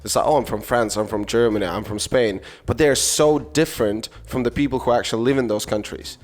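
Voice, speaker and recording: A man's voice: mid-range voice, brisk. A man with a Latvian accent hosting a casual podcast conversation, rambling and searching for words as he puts his questions and thoughts. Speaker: podcast host. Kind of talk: casual interview chat.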